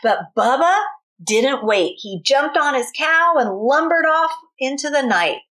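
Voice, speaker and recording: Lady teacher reading a kids story out loud, slow and expressive, high voice. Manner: expressive, addressing young children with questions. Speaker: kindergarten teacher reading aloud. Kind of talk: children's storybook read-aloud.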